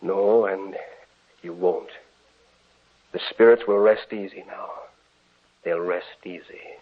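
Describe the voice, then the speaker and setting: A man's voice: sits low, steady tone, slow pace, a little hiss or hum. An actor playing an earnest, grieving older father in a 1940s-style radio drama. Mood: sorrowful. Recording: tinny, narrow old radio recording.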